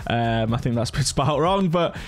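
A man's voice: medium pitch, animated, moderate pace. A middle-aged man talking informally through a casual tutorial, in the manner of a gaming content creator. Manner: chatty, informal.

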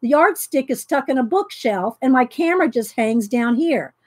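Middle-aged woman, high voice, loud and chatty craft host, laughs a lot. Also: lively intonation, moderate pace, no echo, clean studio sound. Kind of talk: casual live craft chat. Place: home craft room. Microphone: overhead camera pointing down at desk.

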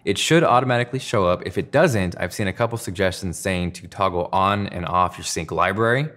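A man in his thirties with a low voice and an American accent. He presents as a tech reviewer, walking through a scripted explanation to camera. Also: brisk, fairly bright tone. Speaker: tech reviewer and video host.

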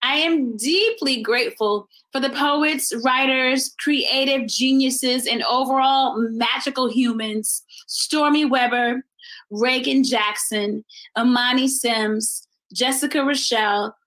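Bright, high-voiced American woman. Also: slow pace, low-quality recording. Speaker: artist giving thanks at an exhibition opening. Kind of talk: prepared thank-you speech. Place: indoor room at home, video recording.

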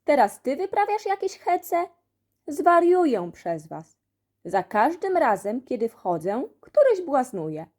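A woman's voice: high voice, very animated, takes it slowly, darkish tone, pitched above the performer's own voice, exaggerated voice. Woman acting male school supervisor, strict, indignant, flustered, swooping intonation, children's audiobook. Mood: exasperated. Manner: scolding, indignant.

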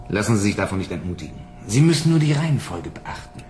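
A man's voice: lowish voice, darkish tone, slight background noise. A man playing a cynical, cold-blooded bounty hunter in a German radio drama, speaking in a dry, blunt, controlled way. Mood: dry, needling.

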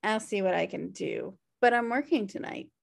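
A woman's voice: medium pitch, big pitch swings, moderate pace, gravelly, heavily acted. A woman playing a blunt, sarcastic, slobbish sister in a comedy table read. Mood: evasive. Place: indoor room on a video call. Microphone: video call.

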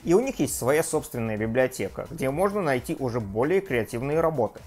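A man in his thirties hosting a scripted tech review vlog from a room at home, lively and self-mocking. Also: medium pitch, brisk, soft background music. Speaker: tech video host. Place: home room with desk and gear.

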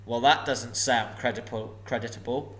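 A man's voice: on the high side, expressive, pushed and bright. A man doing a sneering old dragon. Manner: sneering, slow and mocking. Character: sly, menacing old male dragon.

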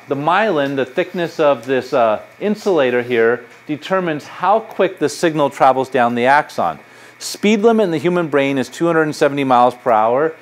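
A middle-aged man, a psychology professor, giving a public lecture in a university lecture hall with a conversational, anecdotal manner and lots of gesturing. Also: on the high side. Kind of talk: public lecture with audience questions.

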